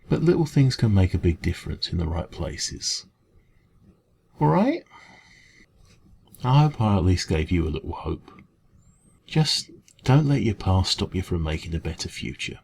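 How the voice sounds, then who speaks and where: A man with an English accent playing a kind café dragon in an ASMR roleplay, talking gently and reassuringly in a creaky voice. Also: deep voice, a little hiss or hum, heavily acted. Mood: encouraging, gentle. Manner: gentle, reassuring, hesitant conversational encouragement. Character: kind, caring male dragon who runs a café. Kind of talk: ASMR roleplay, comforting one-to-one talk.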